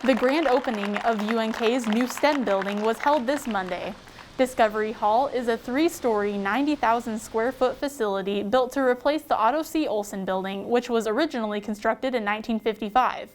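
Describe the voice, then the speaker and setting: A woman's voice: on the high side, fairly quick, a little hiss or hum. A young woman reading scripted news as a reporter.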